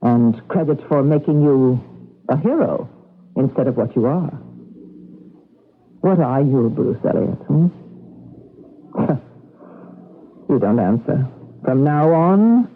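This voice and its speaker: An actor playing a cunning, controlling manipulator with a taunting manner in a 1980s radio drama. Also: male voice, medium pitch, expressive, takes it slowly, pauses often. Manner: taunting, commanding. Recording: thin, muffled old radio broadcast.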